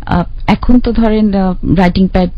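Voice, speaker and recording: A woman talks through her own life story in a relaxed interview. She hesitates often, trails off and restarts her sentences. The recording sounds dull and lo-fi. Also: medium pitch, normal speed, no echo. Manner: hesitant, many fillers and restarts.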